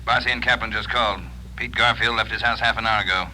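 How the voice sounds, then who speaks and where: An actor playing a brusque, impatient police officer on a telephone line in 1940s radio drama. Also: male voice, fairly low pitch, steady tone. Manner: brisk, forceful. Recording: noisy old radio recording.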